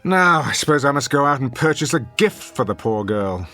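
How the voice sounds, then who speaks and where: A haughty, animated theater critic. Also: male voice, medium pitch, brisk, faint music behind. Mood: sardonic, scornful. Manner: pompous, acerbic, theatrical. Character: haughty, sardonic, misanthropic male theater critic and husband. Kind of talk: radio drama acting.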